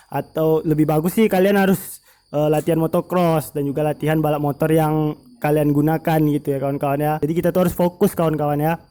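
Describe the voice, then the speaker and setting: A man's voice: a little high, warm tone. A male motovlog host interviewing a racing team, chatty and full of fillers, often turning to speak to his viewers. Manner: chatty, frequent fillers, keeps addressing viewers as friends. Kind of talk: casual interview, addressing viewers.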